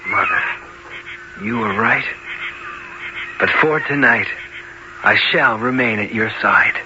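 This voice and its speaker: An actor playing a solemn young man raised in the jungle, speaking in measured, formal radio drama style. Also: medium pitch, lightly acted. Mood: calm, resolute. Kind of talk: old-time radio drama acting. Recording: muffled, noisy old radio drama recording.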